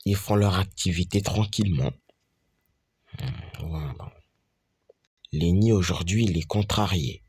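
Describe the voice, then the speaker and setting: Male storyteller, low register, unhurried delivery, halting improv voicing of classroom characters. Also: a little mellow, breathy edge. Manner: halting, repetitive, restarts mid-phrase. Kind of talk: improvised homemade school story with dialogue. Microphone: screen-recorder capture.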